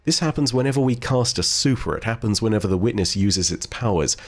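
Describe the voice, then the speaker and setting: A man's voice: fairly low pitch, animated, fast. A man giving conversational, questioning commentary in the style of an explanatory video essay. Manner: conversational, speculative, rhetorical questioning. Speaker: host of a game lore channel. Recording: voice over background music.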